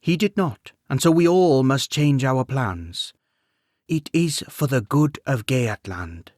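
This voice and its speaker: A man voicing a grieving, shrewd and kindly widowed queen, speaking with gentle but firm persuasion. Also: mid-range voice, animated, slow pace, no room sound, clean studio sound, pitched above the performer's own voice. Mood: persuasive.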